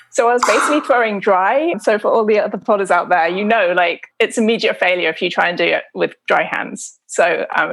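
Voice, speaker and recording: A middle-aged woman from the UK with a British accent softened by years in America, telling her story casually as an interview guest. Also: mid-range voice, creaky. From United Kingdom. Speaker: interview guest, photographer and potter.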